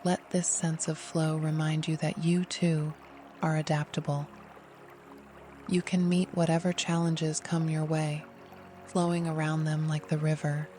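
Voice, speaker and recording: A meditation guide giving scripted, gentle, soothing instruction in an unhurried way. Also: medium pitch, level tone, soft background music.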